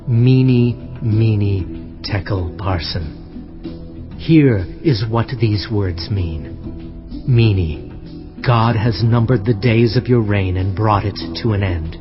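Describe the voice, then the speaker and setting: An actor playing a solemn prophet rebuking a proud king, speaking gravely and deliberately in a dramatized scripture reading. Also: on the low side. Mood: grave pronouncement. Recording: muffled sound with music underneath.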